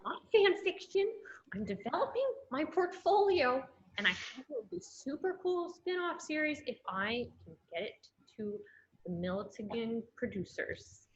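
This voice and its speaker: A woman playing a small, eager, nerdy male alien sidekick, excitable and earnest, in a sci-fi table read. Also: sits high, a little slow, dull audio. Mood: defensive. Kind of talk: video-call table read of sci-fi fan fiction.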